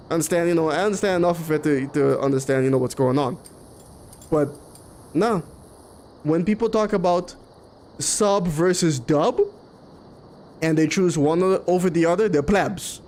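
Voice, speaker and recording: A man from Curaçao with Papiamento as his mother tongue, chatting casually and opinionatedly as he streams a game. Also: high-pitched. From Curaçao, in the Caribbean. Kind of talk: casual live stream chat over gameplay. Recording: clearly noisy background.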